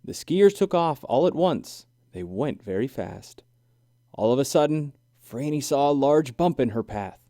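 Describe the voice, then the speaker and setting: A man reading a children's story aloud in an expressive, deliberate, sing-song way. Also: medium pitch, no echo, studio quality. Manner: animated, deliberate, sing-song rhyming delivery. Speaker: children's book reader.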